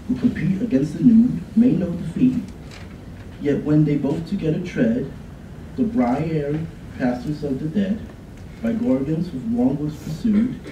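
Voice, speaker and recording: A man reads a poem at a podium in a college event room, slow and deliberate with a very dark, mellow tone. The sound is echoey and picked up from some distance, with faint room noise behind him. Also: fairly high pitch, expressive, dull audio. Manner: measured, deliberate recitation. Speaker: student contestant. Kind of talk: dramatic poetry reading. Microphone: podium mic. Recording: echoey room, far from mic.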